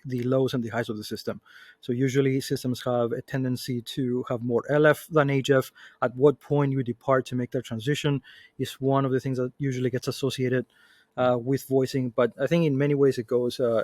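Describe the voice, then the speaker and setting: A man giving a methodical, measured technical explanation as a product manager on a panel. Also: medium pitch, no room sound, clean studio sound.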